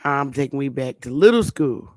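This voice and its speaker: A woman's voice: very deep voice, animated, normal speed, darkish tone, no room sound, clean studio sound. A woman hosting an online talk show, giving an emphatic, preachy casual lecture and drawing out her stressed words. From northern United States. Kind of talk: casual teaching monologue.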